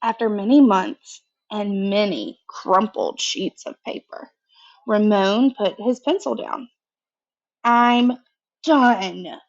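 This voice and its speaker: A woman reading a picture book aloud to children in an expressive, conversational way, pausing for casual asides of her own. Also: mid-range voice, lo-fi sound. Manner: expressive, conversational, pauses to comment.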